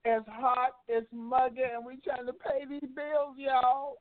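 A man co-hosting a gospel radio talk show as a minister, joking around and calming his co-host in an easygoing way. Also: very high voice, unhurried, no room sound. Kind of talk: casual gospel radio banter. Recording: dry tinny phone-line audio.

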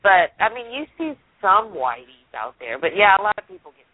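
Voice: mid-range voice, sing-song, fairly quick, no echo. A caller chatting casually and informally on a radio phone-in. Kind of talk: casual chat. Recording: thin, phone-like call audio.

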